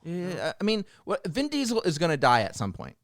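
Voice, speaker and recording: A man's voice: fairly high pitch, wildly swooping pitch, moderate pace, crowd noise. A man talking casually as a panelist on a group talk show. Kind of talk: casual chat.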